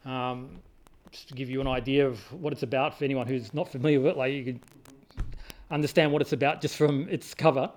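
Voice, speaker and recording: A man's voice: mid-range voice, fairly even intonation, a little fast, darkish tone. A man leading a study group, reading passages of a religious text aloud and explaining them in an informal, hesitant way with many ums and restarts. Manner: informal and conversational. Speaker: study circle leader.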